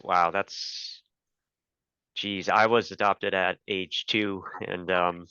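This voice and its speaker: A man hosts a conversational interview podcast. His voice is fairly low, bright and forceful, with crisp articulation. The recording is dry and a little dull. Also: level tone, takes it slowly, no room sound. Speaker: podcast host.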